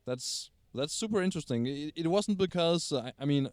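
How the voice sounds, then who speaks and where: Guy doing street interviews, smooth clear voice, hyped and encouraging. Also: on the high side, expressive, fairly quick, a little mellow, no room sound, studio quality. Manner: enthusiastic, encouraging, laughs along.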